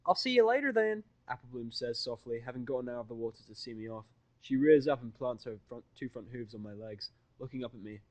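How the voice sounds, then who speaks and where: A man's voice: mid-range voice, level tone, quick pace, few pauses, darkish tone, rough recording. A man reading first-person, present-tense fantasy fan fiction as the narrator.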